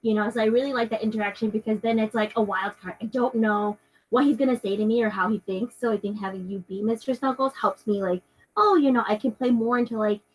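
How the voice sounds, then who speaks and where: A woman chatting casually as a tabletop game player, enthusiastic and expressive. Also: mid-range voice, poor audio. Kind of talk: casual chat.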